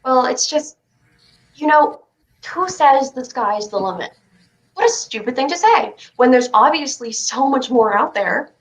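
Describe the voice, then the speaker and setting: A woman's voice: high voice, expressive, unhurried, rough recording. A woman playing a stubborn, dreamy child who plays astronaut, in a staged play reading. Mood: dreamy, musing.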